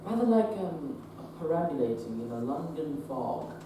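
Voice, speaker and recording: A man's voice: on the high side, very animated, moderate pace. A young man acting a wry, guarded Victorian doctor on stage, telling a dry story with chuckles. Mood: amused. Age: young adult. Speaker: stage actor. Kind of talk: live stage play acting. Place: theatre stage. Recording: echoey stage recording from far off, noisy.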